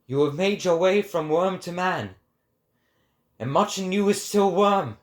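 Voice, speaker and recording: A man's voice: high voice, expressive, a little slow. A man voicing an impassioned male prophet who declaims like an orator in a dramatic reading. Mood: grave, scornful.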